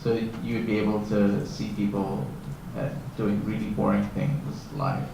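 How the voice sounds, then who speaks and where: A man's voice: on the low side, monotone, measured pace, creaky. Mid-voiced male panelist, chatty explainer, recorded far off in a cavernous room. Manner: explanatory, loose and conversational. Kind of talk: panel discussion. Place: conference hall. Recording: echoey hall from far off, faint noise.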